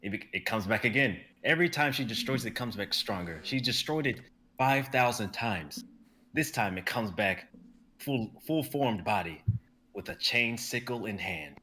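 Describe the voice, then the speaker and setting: A young man reading scene directions aloud for a live drama stream, in a brisk and vivid way. His voice is low and bright, and now and then he stumbles over a word. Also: animated. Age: young adult. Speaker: narrator reading stage directions.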